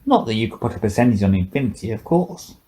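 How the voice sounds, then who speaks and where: A man's voice: fairly low pitch, big pitch swings, fast talker, dark tone. A man voicing a drunken, rambling old male scientist with slurred, sarcastic speech in an audiobook. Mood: musing, rambling. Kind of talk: audiobook character dialogue.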